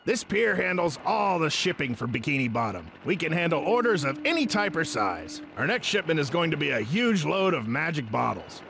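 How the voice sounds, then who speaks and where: A man voicing a folksy old fish who clerks at a pier in a cartoon video game, with creaky salesman patter. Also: fairly high pitch, sing-song, moderate pace, few pauses, fairly bright tone, heavily acted. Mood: businesslike. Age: older. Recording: clearly noisy game audio.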